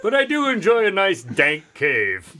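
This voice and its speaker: A man's voice: high-pitched, huge pitch swings, a little slow, very noisy. A man, a voice actor, in casual banter between takes.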